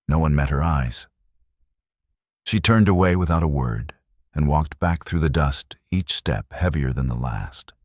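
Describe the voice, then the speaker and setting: A man narrating a scripted western story in a measured, solemn, dramatic storytelling manner. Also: very deep voice, no echo, studio quality.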